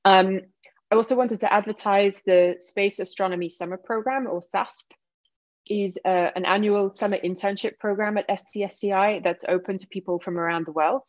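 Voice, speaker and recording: A woman in her thirties giving a relaxed seminar talk over a video call, with many fillers. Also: mid-range voice, normal speed, dry recording, highs cut off. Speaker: guest speaker.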